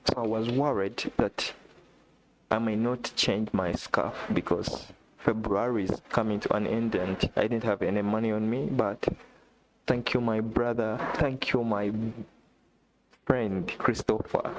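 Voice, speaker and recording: A man from Uganda, not a native English speaker, chatting excitedly on a live stream, repeating himself and talking straight to a viewer. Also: lowish voice, measured pace, slight background noise, poor audio. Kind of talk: casual live-stream chat. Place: indoor room.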